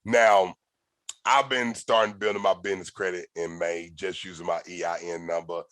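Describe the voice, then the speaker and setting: Animated low-voiced male podcast host. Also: moderate pace, no room sound. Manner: animated, profane storytelling with slang. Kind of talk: casual podcast conversation.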